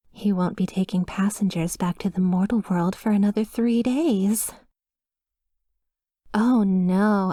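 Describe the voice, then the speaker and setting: A woman's voice: mid-range voice, mellow, no room sound, close to an everyday voice. Woman acting a polite, slyly sinister demon receptionist, close and dry. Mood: apologetic, cautioning. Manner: polished customer-service politeness, reassuring, faintly apologetic. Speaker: hotel receptionist character. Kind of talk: scripted ASMR roleplay.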